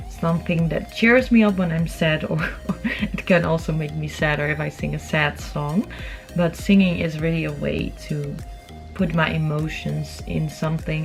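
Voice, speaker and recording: A woman's voice: low-pitched, vocal fry, a little mellow. A young adult woman, chatting informally as she answers viewer questions in a casual vlog. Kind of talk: casual Q&A chat to camera. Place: room at home.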